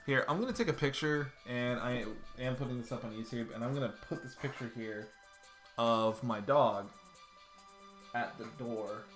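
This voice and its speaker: A man's voice: medium pitch, moderate pace, a bit bright, soft background music. A man in his thirties streaming a game from home, chatting loosely and swearing casually as he plays. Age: 30s. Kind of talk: casual let's-play commentary. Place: home room.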